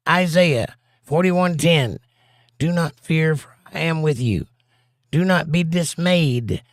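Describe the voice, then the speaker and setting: A man's voice: a little high, no room sound, studio quality. Joking man hosting a chat stream. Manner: jokey, reads viewer comments aloud, breaks into silly bits. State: slightly hoarse and scratchy. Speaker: livestream host reading chat. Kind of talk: casual livestream chat.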